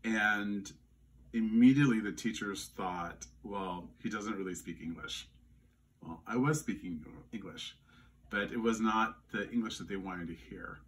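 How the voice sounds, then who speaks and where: Man, Louisiana-raised, no accent; measured, sincere interviewee, slightly distant mic. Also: on the low side. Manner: earnest, measured, with frequent restarts. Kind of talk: reflective interview answers.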